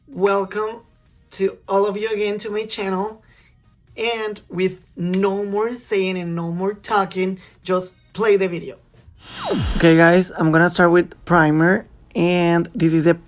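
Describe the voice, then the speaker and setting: A young man from Colombia, a native Spanish speaker with a Colombian Spanish accent, talking through a makeup tutorial in chatty, slightly halting English. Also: high voice. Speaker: makeup vlogger. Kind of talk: casual makeup tutorial talk.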